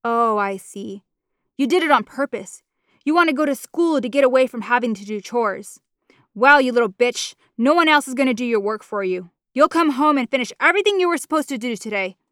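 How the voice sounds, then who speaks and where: A woman voicing a big, domineering mother who yells and curses at her daughter in a storybook reading. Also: fairly high pitch, normal speed, no echo, clean studio sound, close to an everyday voice. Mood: angry, scolding. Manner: yelling, cursing, scornful. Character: harsh foul-mouthed mother, big, furious, domineering.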